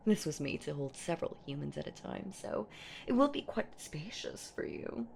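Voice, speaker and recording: A woman's voice: sits low, sing-song, a little mellow, a little hiss or hum, heavily acted. A woman voicing an ancient female boogeywoman in a horror roleplay audio drama, predatory and possessive, with teasing, menacing delivery. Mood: possessive, sweetly menacing. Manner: slow, teasing, menacing, breathy whispers and drawn-out words.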